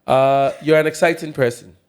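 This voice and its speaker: A man's voice: a little high, steady tone, moderate pace, smooth. A man, co-hosting a podcast with teasing, laughing asides. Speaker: podcast co-host. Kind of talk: casual chat.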